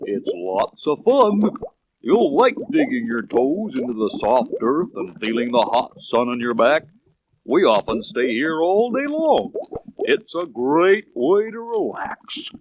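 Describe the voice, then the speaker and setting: A man voicing a lazy, friendly tortoise on a children's read-along record, in a slow, languid drawl. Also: fairly high pitch, very animated, vocal fry, muffled recording, a little hiss or hum, voice pushed up, full character voice. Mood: lazy, contented. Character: lazy, contented, friendly tortoise basking in mud.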